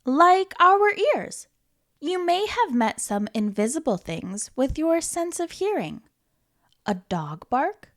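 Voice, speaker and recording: A woman reading a children's book aloud as a storytime host, in an expressive, playful manner with questions to the listener and dramatic pauses. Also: high voice. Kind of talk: animated children's read-aloud.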